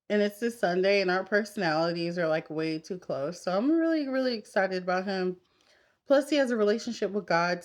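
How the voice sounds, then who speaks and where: A woman in her thirties chatting casually and candidly to her viewers, as in a get-ready-with-me vlog. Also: lowish voice. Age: 30s. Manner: candid, conversational, talking straight to women viewers. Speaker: vlogger. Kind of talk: casual confessional chat while getting ready.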